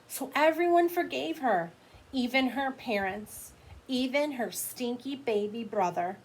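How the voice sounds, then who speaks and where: A woman's voice: on the high side, low-quality recording. A woman teacher reading a picture book aloud to children, expressively, with explanatory asides to her young listeners.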